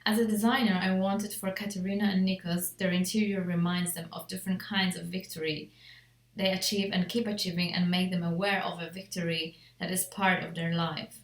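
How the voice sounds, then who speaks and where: The recording has a clearly echoey room sound around a woman's voice. She speaks a little low, reading a prepared design presentation. Her delivery is measured and formal, like a designer walking through a written brief. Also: flat delivery. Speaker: interior designer presenting a client project. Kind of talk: scripted presentation narration.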